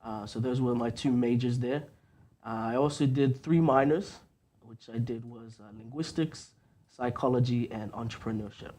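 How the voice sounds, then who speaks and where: A young man guesting on a radio chat show, talking softly with many ums and uhs. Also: mid-range voice, normal speed.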